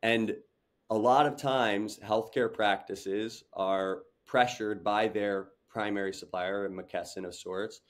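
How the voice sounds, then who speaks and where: A man's voice: on the low side, steady tone, slow pace. A young man, a startup founder, talking earnestly on a video call interview, with many fillers and restarts. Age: young adult. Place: home room with large window.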